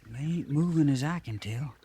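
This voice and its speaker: An earnest young cavalry trooper, loyal and a little anxious, in a fairly high voice. His rural Western drawl is folksy and deferential, heard on an old radio western with faint noise. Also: moderate pace, creaky, mellow. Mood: hushed, nervous. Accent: rural American West drawl. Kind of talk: 1950s radio western acting. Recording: faintly noisy 1950s radio recording.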